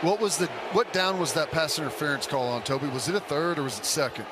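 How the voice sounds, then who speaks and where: A man's voice: on the high side, quick pace, heavy background noise. An American man giving informal, explanatory color analysis on a live football radio broadcast.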